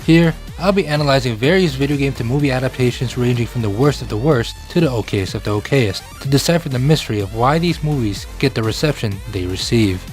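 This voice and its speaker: A man's voice: mid-range voice, lively intonation, brisk, few pauses, soft background music. A man hosting a video essay, delivering scripted narration.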